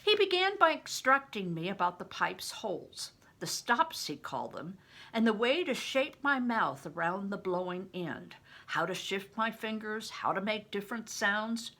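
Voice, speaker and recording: An older woman reading a story aloud in a deliberate, dramatic, teacherly way, with chatty explanatory asides. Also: mid-range voice, sing-song, pushed and bright.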